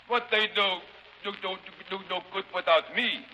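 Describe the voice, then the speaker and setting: An actor playing a gloating, cruel foreign sea captain in a 1930s radio drama, speaking taunting broken English with a foreign accent. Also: male voice, sits high, a little slow, bright, forceful tone, big-room sound, exaggerated voice. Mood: boastful. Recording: noisy vintage 1930s radio recording.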